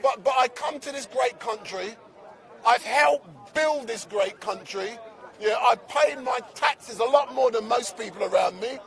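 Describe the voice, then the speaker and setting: A very high-voiced man from Barbados interviews people at a street protest, chatty and teasing. He keeps cutting in to hold the floor. A crowd loudly fills the outdoor recording behind him. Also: unhurried, gravelly. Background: crowd noise.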